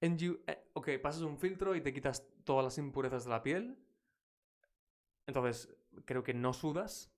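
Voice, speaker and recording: Spanish-born English teacher on a live stream, British-leaning accent, lively chatty delivery, mid-pitched voice. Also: male voice, fairly quick. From Spain. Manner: energetic, chatty, reacting to clips.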